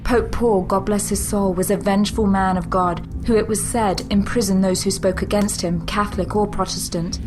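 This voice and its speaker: A female narrator tells an audio drama story in measured phrases. Her voice is medium in pitch, with music clearly playing underneath. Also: fairly even intonation.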